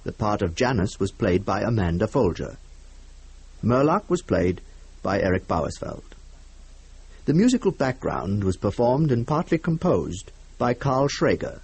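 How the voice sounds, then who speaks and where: A man hosting a radio drama programme, announcing in a measured, formal and dry manner. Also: on the low side, big pitch swings, gravelly, darkish tone, husky.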